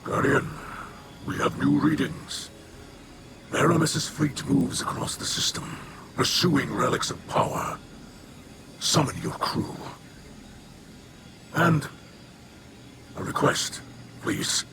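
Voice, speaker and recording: A solemn alien elder from a video game, speaks slowly and formally, as a weary leader and father would. Every phrase is weighty and grave. Game ambience runs noticeably behind the voice. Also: a little high, creaky, heavy background noise. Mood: solemn pleading. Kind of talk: video game cutscene voice acting.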